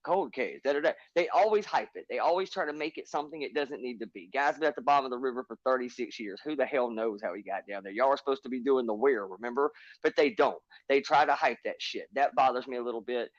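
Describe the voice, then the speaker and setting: A man holds forth on a live panel, punching his points with profanity and lecturing the others. His voice sits at a middling pitch and sounds close and dry. The stream audio is dull and lo-fi. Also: brisk, no echo. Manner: emphatic, profane, lecturing rants. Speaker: livestream panelist. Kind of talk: heated panel chat.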